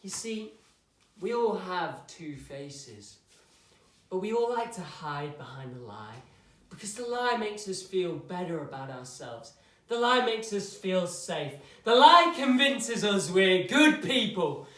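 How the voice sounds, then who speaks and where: Troubled young man, played by a man, confrontational and needling, high voice, far from the mic. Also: very animated, slow, bright, forceful tone, exaggerated voice. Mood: intense. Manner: intense, accusing, goading. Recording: echoey room from far off.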